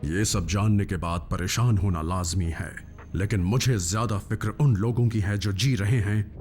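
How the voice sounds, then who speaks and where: A man's voice: on the low side, normal speed, darkish tone, faint music behind, close to an everyday voice. A man voicing a noble robot leader in a cartoon dub, grave and restrained. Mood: solemn, concerned. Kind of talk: dubbed cartoon acting.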